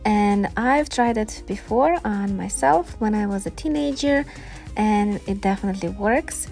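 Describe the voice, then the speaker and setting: Female gardening vlogger, native Ukrainian speaker with Ukrainian accent, unhurried delivery, enthusiastic, faint music underneath. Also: medium pitch, gravelly, a little mellow. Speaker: gardening video host. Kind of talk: informal explanatory vlog narration.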